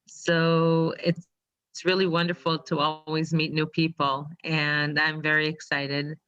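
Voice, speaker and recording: A woman whose first language is Hebrew, sharing her story on a video call and pausing often with ums. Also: deep voice, a bit bright, highs cut off. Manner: hesitant, many ums. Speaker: webinar participant. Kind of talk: casual pitch on a video call.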